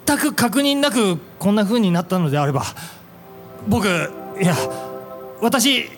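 A man acting a humble, emotional office team leader in a live radio drama, halting and apologetic. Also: high-pitched, sing-song, faint music behind. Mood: tearful apology.

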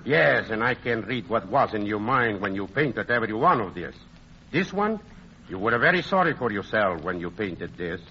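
An actor playing a fond, teasing old European art dealer in an old-time radio drama, speaking expressively and haltingly. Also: male voice, fairly low pitch, expressive. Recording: muffled, hissy old radio recording.

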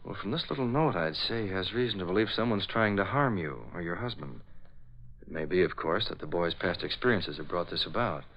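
An actor playing a calm, decisive newspaperman with a secret crimefighting life, in a measured, authoritative 1940s radio style. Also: low voice. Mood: probing, serious. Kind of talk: 1940s radio drama acting. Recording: dull old radio recording.

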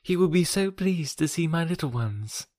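A man's voice: on the high side, animated, moderate pace, dry recording, studio quality, pitched above the performer's own voice, natural voice. A man voicing an affectionate young mother who speaks eagerly and politely, in an audiobook. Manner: eager, polite, softly raised. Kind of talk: audiobook character reading.

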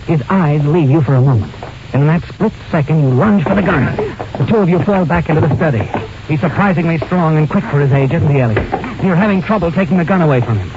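An actor playing a knowing, taunting suspense narrator in an old radio drama, speaking deliberately in the second person. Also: male voice, on the high side, background noise, lightly acted. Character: knowing, insinuating male storyteller addressing the culprit. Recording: dull old radio recording with music.

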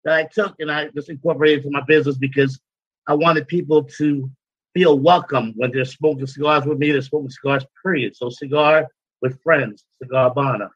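A man's voice: a little high, fairly even intonation, a little fast, breathy edge, no room sound, dull audio. A man, talking casually as an interview guest about his cigar business, rambling with many "you know"s. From Guyana.

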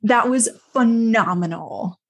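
A woman's voice: fairly high pitch, takes it slowly, breathy edge. A woman co-hosting a podcast in casual conversation, talking like an enthusiastic fan. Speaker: podcast co-host.

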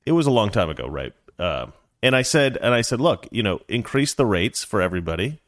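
A man's voice: on the low side, very animated, brisk, fairly bright tone, no echo, studio quality. A man hosting a casual commentary show, rambling and opinionated with a joking edge. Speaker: show host.

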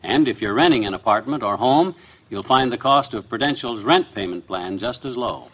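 Guy reading old 1950s radio ad, bright voice, medium pitch, scripted. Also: expressive, normal speed, husky. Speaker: commercial spokesman.

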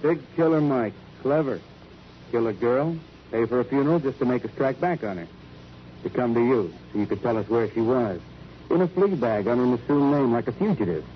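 A man acting a wry detective sidekick in an old radio crime play. He has a medium-pitched voice and needles with dry sarcasm. The recording sounds muffled, with faint noise. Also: animated, a little fast, natural voice. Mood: needling, sarcastic.

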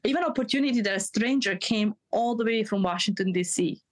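Woman in her thirties, podcast guest, earnest, confiding, self-mocking laughs, mid-pitched voice. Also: fairly quick. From Europe. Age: 30s. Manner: earnest and confiding, laughs at herself, non-native grammar. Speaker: guest, mindset coach. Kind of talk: candid storytelling in a podcast interview.

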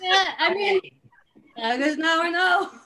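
A woman's voice: extremely high pitch, a little slow, bright, forceful tone, poor audio. A woman host giving a comedic dramatic reading with giggly, sarcastic asides. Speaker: podcast host reading a book aloud.

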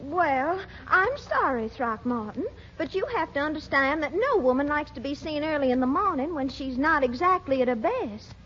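An actress playing a coy, genteel lady who gently scolds and coaxes her suitor in 1940s radio comedy style. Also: sits high, expressive, normal speed, hardly any pauses. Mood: coy, apologetic. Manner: coquettish, prim, coaxing. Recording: muffled, noisy 1940s radio recording.

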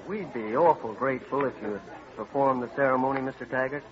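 An actor playing an earnest, eager young frontier bridegroom with plain American country speech in an old-time radio western. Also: male voice, medium pitch, fairly quick, natural voice. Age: young adult. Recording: muffled old radio, faint noise and crowd.

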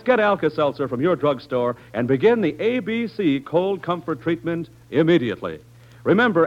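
Old radio ad guy, american, earnest sales pitch, really swoopy highish voice, dull sound. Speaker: radio commercial announcer. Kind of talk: 1940s radio ad reading, scripted. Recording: muffled old radio recording.

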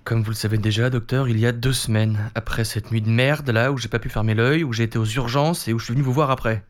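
An actor playing an exhausted, obsessed man tormented by a noise, speaking in an agitated, halting, repetitive way in a naturalistic audio drama. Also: medium pitch, steady tone, natural voice. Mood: weary, irritated. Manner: agitated, halting, repeating himself.

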